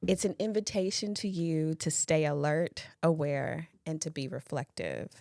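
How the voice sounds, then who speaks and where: Brief: woman podcast co-host, low voice, chatty and laughing, dry close sound. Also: expressive, normal speed, vocal fry, mellow, no echo. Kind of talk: casual podcast chat.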